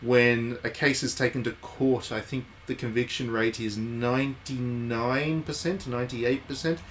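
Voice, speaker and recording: A man's voice: mid-range voice, steady tone, unhurried, a little hiss or hum. A man giving casual, chatty live commentary as he streams a game, with wry asides. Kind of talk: casual live playthrough commentary, reading game text aloud.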